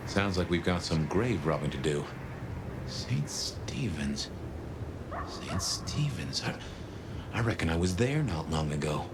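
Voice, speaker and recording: Deep, wry, folksy companion. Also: male voice, sing-song, unhurried, noisy background, exaggerated voice. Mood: musing. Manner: drawling idiom, dry, musing. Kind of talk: video game voice acting.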